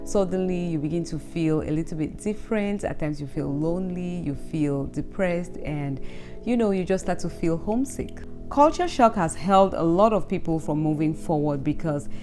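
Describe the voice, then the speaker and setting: Low-voiced Cameroonian woman giving advice. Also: animated, normal speed, darkish tone. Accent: Cameroonian English. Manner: earnest, encouraging, speaks directly to the viewer. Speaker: vlogger giving advice to immigrants. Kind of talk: motivational talk to camera. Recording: background music under the voice.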